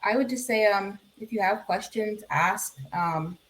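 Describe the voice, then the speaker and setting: A woman's voice: medium pitch, measured pace, a bit bright, rough recording. A woman guesting on a video call interview, answering in an easygoing, agreeable way.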